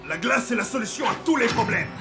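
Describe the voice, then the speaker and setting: A man voicing powerful elemental warriors in a French cartoon dub, with blunt lines and booming attack calls. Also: expressive, quick pace, vocal fry, exaggerated voice. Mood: indignant, forceful. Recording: loud music under voice.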